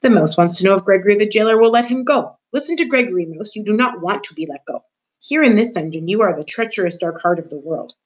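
A woman's voice: mid-range voice, animated, fast, lightly acted. A woman voicing an old male dungeon jailer who talks in a menacing, theatrical way and calls himself by name.

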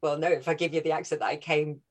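A woman's voice: low voice, flat delivery, fast. A woman from North Wales with a Scouse-tinged accent, chatting as a guest actress, enthusiastic and laughing often. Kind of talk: casual interview chat.

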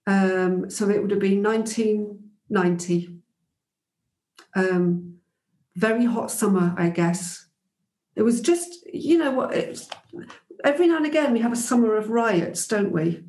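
A woman's voice: mid-range voice, a little slow, dark tone, roomy sound. A woman guest in an interview, reflecting thoughtfully on her teaching days, with frequent ums and restarts. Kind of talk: reflective conversational storytelling.

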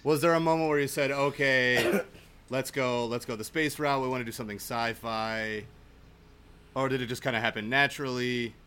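A man hosting a casual interview in a friendly way, with halting phrasing as he puts his questions together. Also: mid-range voice, steady tone, bright, forceful tone, clean tone. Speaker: podcast host.

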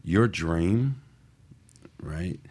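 A man co-hosting a motivational talk radio show, speaking in an emphatic, encouraging way with a husky voice. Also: low-pitched, animated, very slow, a little mellow.

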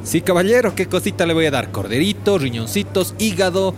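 An actor playing a crooked, pushy market butcher in a radio drama, with a Bolivian accent and a slangy, singsong vendor's way of talking that wheedles and bullies. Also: male voice, high voice, expressive, brisk, music in the background. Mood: wheedling sales pitch. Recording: clear outdoor street noise behind.

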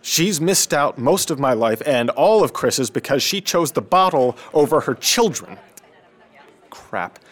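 A man's voice: medium pitch, expressive, measured pace. A man acting in a radio drama as a frustrated, bitter teenage son with a cynical, sardonic manner. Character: bitter, frustrated teenage son, high school senior age.

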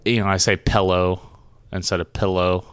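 A man with a Midwestern accent and a low, twangy voice, chatting casually as a podcast co-host, swearing, laughing and stumbling over words. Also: level tone, moderate pace, smooth, dry recording. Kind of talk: casual chat.

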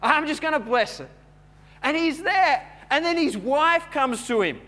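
A man preaching a sermon in a conversational, storytelling way, repeating words as he goes and building from quiet chat to louder preaching. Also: very high-pitched, animated, a little slow. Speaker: pastor.

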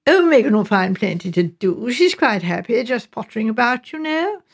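A middle-aged English mother in an audiobook, acted by a woman reader, self-absorbed and coolly dismissive. Her voice sits fairly high with a creaky edge. She makes condescending small talk in a languid, affected manner. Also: big pitch swings, moderate pace, full character voice. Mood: amused, dismissive.

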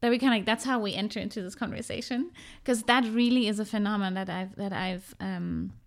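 A woman's voice: medium pitch, steady tone, quick pace, vocal fry. Reflective German-raised woman guest. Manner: reflective, hesitant, many fillers and restarts. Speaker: podcast guest. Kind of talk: casual conversation.

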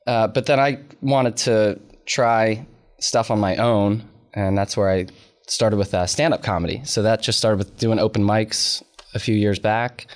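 A man's voice: fairly low pitch, steady tone, unhurried. A man who works as a comedian, chatting casually and self-deprecatingly as an interview guest.

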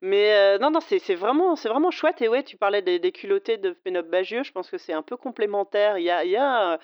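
A woman's voice: mid-range voice, lively intonation, a little fast, no echo, muffled recording. A woman in casual conversation who talks chattily with frequent hesitations and laughs easily. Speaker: podcast co-host.

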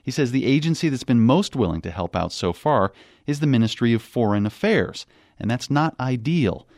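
A man narrating a radio news report in a measured, scripted delivery. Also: lowish voice, a little mellow, no room sound, clean studio sound.

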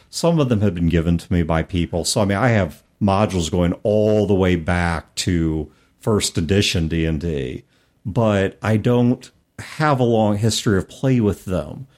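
A man's voice: fairly low pitch, darkish tone. A man hosting a podcast, talking casually and reflectively in a self-deprecating way. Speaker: podcast host. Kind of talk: casual tabletop gaming podcast talk.

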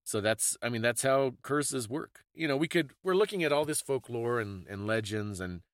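A man's voice: medium pitch, lively intonation, moderate pace, no echo, studio quality. A man co-hosting a podcast, explaining things in a casual, conversational way with thoughtful, slightly hesitant phrasing. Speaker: podcast co-host.

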